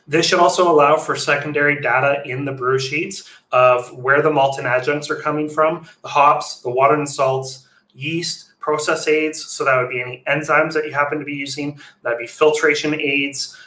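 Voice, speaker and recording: Man, thirties, informal webinar lecture, crisp bright voice, roomy webcam sound. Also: medium pitch. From Canada. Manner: informal, with fillers and restarts. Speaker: brewery consultant presenting a webinar. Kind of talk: slide presentation lecture. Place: home room, indoors. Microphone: webcam video call. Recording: echoey room on a webcam mic.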